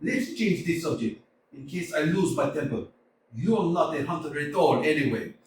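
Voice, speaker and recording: A man plays a hot-tempered old landowner who blusters and shouts, his voice riding high and swinging wildly. He sounds distant, in a very echoey hall with a faint hiss. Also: lively intonation, moderate pace, lightly acted. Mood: scolding. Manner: shouting, bombastic, exasperated. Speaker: amateur actor in a staged reading. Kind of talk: community theater staged reading.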